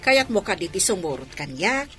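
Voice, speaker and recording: A woman's voice: fairly low pitch, big pitch swings, moderate pace, pushed and bright, soft background music. A woman playing a practical, friendly village woman in a radio drama. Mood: practical, coaxing. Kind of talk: radio comedy drama dialogue.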